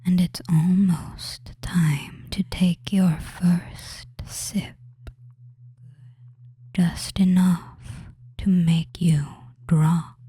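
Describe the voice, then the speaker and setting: A man as a slow, whispering femboy witch. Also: high-pitched, flat delivery, mellow, exaggerated voice. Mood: soothing, coaxing. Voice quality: breathy, whispered. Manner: slow, soothing, drawn-out phrases with commands. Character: femboy witch, tender, teasing, commanding hypnotist. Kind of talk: whispered hypnosis audio roleplay.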